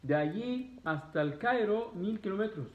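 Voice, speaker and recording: A man's voice: high-pitched, a little slow. A man in his thirties from Egypt who speaks Spanish as a second language, talking casually as a travel vlogger and answering viewer questions from his living room. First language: Arabic. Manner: animated, gesturing, Spanish learned as a second language. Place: living room at home, indoors. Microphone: phone camera at arm's length. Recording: tinny phone-like sound in an echoey room.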